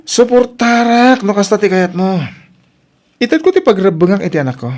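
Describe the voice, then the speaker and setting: A man's voice: high-pitched, expressive, normal speed, darkish tone. A man with an Ilocano accent acting an angry, hurt husband in a radio drama.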